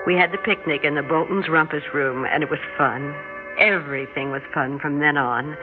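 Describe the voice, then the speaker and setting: An actress with an American accent playing a sly, self-assured suburban wife with a secret gift in a 1960s radio drama. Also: female voice, deep voice, steady tone, normal speed, phone-quality sound, lightly acted. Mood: composed, confiding. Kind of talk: 1960s radio drama acting and first-person narration. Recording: thin, band-limited old radio, music behind.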